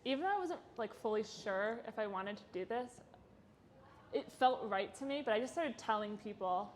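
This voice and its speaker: Chatty young woman, lively. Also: fairly high pitch, moderate pace, a little hiss or hum. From Chicago. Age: 20s. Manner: chatty, laughing, frequent "like" fillers. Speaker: podcast guest. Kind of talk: casual interview chat.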